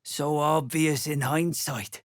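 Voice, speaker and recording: Slow, scholarly dwarf stonemason. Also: male voice, fairly high pitch, fairly even intonation, dry recording, studio quality. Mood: musing, curious. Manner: ornate, eloquent, musing. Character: weary scholarly male duergar stonemason, curious and courteous. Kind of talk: video game character acting.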